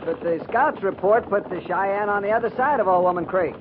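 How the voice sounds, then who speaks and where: An actor playing an eager, dutiful cavalry lieutenant, speaking respectfully in a 1950s radio western. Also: male voice, sits high, brisk, phone-quality sound, lightly acted. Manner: respectful, military, earnest. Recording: noisy, thin 1950s radio recording.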